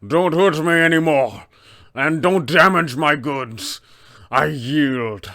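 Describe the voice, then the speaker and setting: Tabletop villain: haughty, greedy pirate captain, acted out by a man, grandiose and very slow, clean dry sound. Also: a little high, sharp tone, dry recording, heavily acted. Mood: pleading. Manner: grandiose, drawn-out delivery. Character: vain, greedy, haughty pirate captain in gem-encrusted finery.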